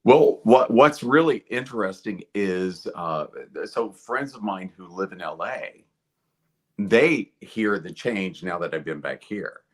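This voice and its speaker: A man from Kentucky with an American accent, talking casually and thoughtfully as an interview guest in a measured way. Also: lowish voice, expressive.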